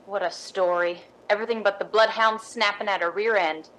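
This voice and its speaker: A woman's voice: medium pitch, fairly even intonation, brisk, fairly bright tone, natural voice, rough recording. A woman acting a wisecracking, blunt former vaudevillian working as a maid in a 1950s-style radio drama, sassy and folksy. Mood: sardonic.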